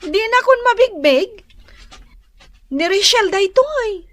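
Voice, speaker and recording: A woman's voice: very high-pitched, expressive, takes it slowly, a bit bright, full character voice. An actress with an Ilocano Filipino accent playing a chatty, teasing married woman in a radio drama. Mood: friendly, impressed. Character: chatty, teasing young married woman visiting an old classmate.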